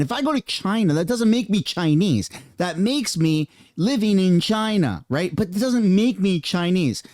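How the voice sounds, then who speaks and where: A man's voice: a little high, darkish tone, no echo, clean studio sound. A man ranting in a heated, casual reaction commentary over political clips, using slang.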